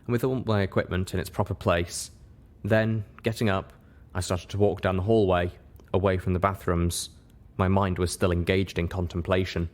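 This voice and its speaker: A man reading a story aloud as its narrator, in scripted first-person narration. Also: low voice. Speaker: reader, narrator.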